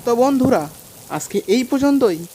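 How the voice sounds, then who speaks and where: A woman's voice: a little high, expressive, moderate pace, dark tone, a little hiss or hum. A woman narrating a scripted cartoon story as its storyteller.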